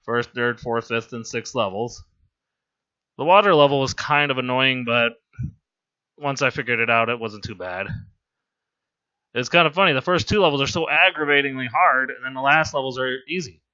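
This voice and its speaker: A hoarse man with laryngitis giving casual, rambling, amused gameplay commentary as a voice-over. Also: medium pitch, moderate pace, bright, forceful tone, muffled recording. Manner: rambling, amused and self-deprecating. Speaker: game commentator.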